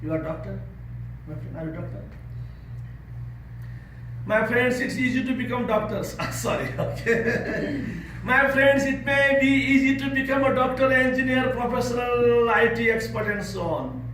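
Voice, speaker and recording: A man's voice: very high voice, normal speed, a bit bright. Man, Nepali accent, earnest guest speaker, repeats words for emphasis, distant mic, noisy echoing room. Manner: earnest, repeats words for emphasis, leads a call-and-response chant. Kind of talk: speech to a service club.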